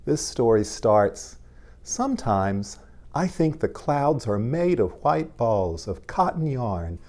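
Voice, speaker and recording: A man, a pastor, reading aloud to young children in gentle, simple language and addressing them directly. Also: mid-range voice, sing-song, slow pace.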